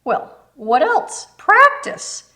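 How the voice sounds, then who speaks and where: A woman's voice: very high voice, huge pitch swings, very slow, pushed and bright, pitched above the performer's own voice, full character voice. A woman voicing a kind, encouraging older neighbor lady from a children's storybook, folksy and affectionate. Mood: playful, excited. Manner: encouraging, folksy, calls listener honey. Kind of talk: children's storybook read-aloud.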